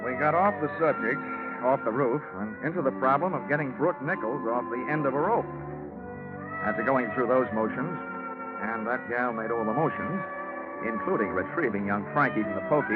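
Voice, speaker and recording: An actor playing a wisecracking, hard-boiled American private investigator in vintage radio drama, with a wry, punchy, slangy delivery. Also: male voice, medium pitch, wildly swooping pitch, moderate pace. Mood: breezy, wry. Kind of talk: hard-boiled 1940s-50s radio narration and acting. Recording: dull vintage radio recording with music.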